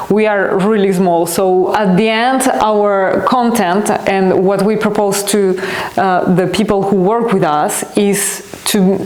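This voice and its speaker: A woman speaks in a middle-pitched voice, earnest and reflective, pausing now and then to find the right word. Her talk has the loose flow of a podcast host answering listener questions. The room around her leaves a clear, roomy echo. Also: darkish tone. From Colombia. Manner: earnest and reflective, searching for words. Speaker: podcast host and company founder.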